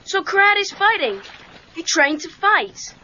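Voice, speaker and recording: A woman's voice: extremely high pitch, sing-song, slow pace, sharp tone, heavily acted. A woman voicing an eager, questioning teenage boy karate pupil in a read-along cassette drama, earnest and pressing. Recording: dull cassette tape sound.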